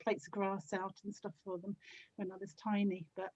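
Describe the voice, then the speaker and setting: A woman's voice: medium pitch, little pitch movement, moderate pace, darkish tone. British woman, casual chat, slightly dull sound. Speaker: podcast guest, audio drama actor and producer.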